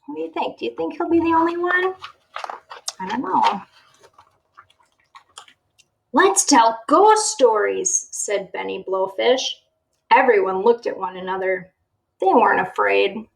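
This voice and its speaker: Expressive, animated woman reading a storybook aloud. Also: on the high side, vocal fry, poor audio. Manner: expressive, checks in with the listener using questions. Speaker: teacher reading aloud to a child. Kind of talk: children's storybook read-aloud.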